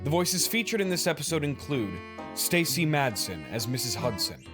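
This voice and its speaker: A man's voice: fairly high pitch, big pitch swings, normal speed. A man announcing the closing credits of an audio drama in scripted narration. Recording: guitar music under the voice.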